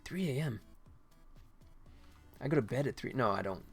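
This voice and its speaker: A man with a mid-pitched voice talks casually on a livestream as he works. He is chatty and rambling, often thinking out loud, with music and some typing behind him. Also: lively intonation, normal speed, creaky, husky. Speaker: livestream host and solo indie game developer. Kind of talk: casual livestream chat while working.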